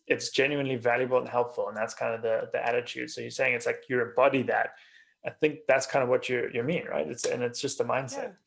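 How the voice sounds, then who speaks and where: Gravelly-edged man hosting a casual interview. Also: mid-range voice. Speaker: podcast host.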